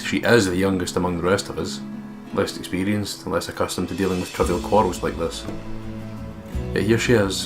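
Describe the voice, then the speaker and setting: Let's play host, male, from the UK, deep voice, reads visual novel text casually over music. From United Kingdom. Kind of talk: reading game narration aloud with casual asides. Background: game music.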